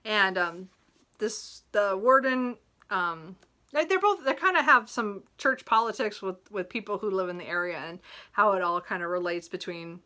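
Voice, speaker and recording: Casting: woman book vlogger, bright mid-range voice, informal and rambling, apologetic self-corrections, prone to giggles. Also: mid-range voice, normal speed. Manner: giggles often while talking. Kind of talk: casual, chatty talk about a reading list.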